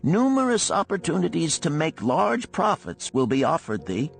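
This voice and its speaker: A man voicing a wise, cautious older gold lender of ancient Babylon in a storybook, solemn and deliberate in archaic speech. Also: a little high, wildly swooping pitch, creaky, soft background music, heavily acted. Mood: solemn, advising. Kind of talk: storybook character reading.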